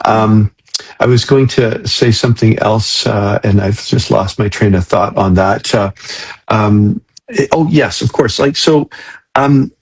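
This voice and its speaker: Male host, middle-aged or older, husky and lowish, casual and hesitant in conversation. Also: poor audio. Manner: hesitant, halting, repeats words while thinking aloud. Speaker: interview host. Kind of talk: casual live interview chat.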